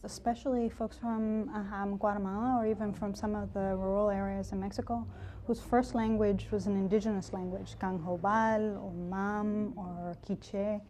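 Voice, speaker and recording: A woman's voice: mid-range voice, flat delivery, normal speed, warm tone. A woman, a center director, explaining her students' struggles in an interview, with some fillers. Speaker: executive director.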